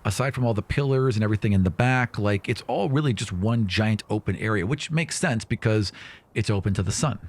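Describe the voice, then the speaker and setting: This is a man with a fairly low voice, acting as game master at a tabletop fantasy game. He improvises his descriptions casually, piling up loose phrases as he explains the scene. It sounds like talk among players, not a scripted reading. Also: fairly quick.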